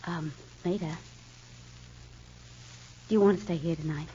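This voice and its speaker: An actress playing a kindly married woman in a 1940s radio soap, speaking in a gentle, motherly way. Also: on the low side, steady tone, a little slow, slight background noise, close to an everyday voice. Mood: soothing. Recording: dull lo-fi old radio recording.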